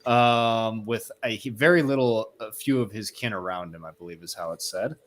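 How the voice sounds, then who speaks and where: A man's voice: on the low side, normal speed, a bit bright. A man co-hosting a book discussion in a casual, colloquial way, with hesitant phrasing and exclamations. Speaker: co-host of a read-along discussion.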